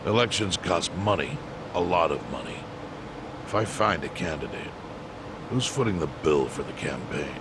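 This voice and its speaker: A man voicing a measured, pragmatic older yakuza chairman with a dry, deliberate manner in a game dub. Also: very deep voice, expressive, background noise. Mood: firm. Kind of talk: video game English dub acting.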